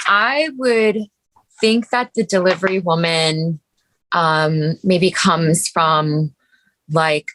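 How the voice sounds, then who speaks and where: A woman's voice: lowish voice, a bit bright, no room sound, low-quality recording. A woman on a video call panel, answering casually as an actor in a tentative, musing way.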